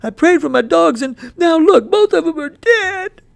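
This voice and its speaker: A man reading a story aloud, voicing a grieving, stubborn young boy with a choked, pleading delivery pushed up high. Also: expressive, moderate pace, creaky, heavily acted. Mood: defiant.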